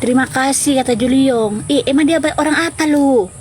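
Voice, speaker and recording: A woman's voice: high-pitched, fairly quick, noisy background. A woman chatting casually and informally with viewers as the host of a live stream. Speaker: livestream host.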